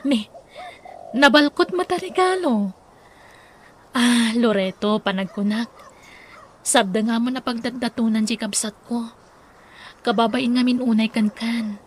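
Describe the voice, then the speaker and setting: A woman's voice: a little high, unhurried, pauses often. A woman acting a scolding but apologetic older sister in an Ilocano radio drama, with an Ilocano accent. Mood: apologetic, embarrassed.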